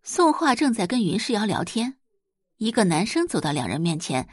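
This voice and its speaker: A woman's voice: medium pitch, big pitch swings, moderate pace, no room sound, studio quality. A woman narrating a scripted audiobook story as its storyteller.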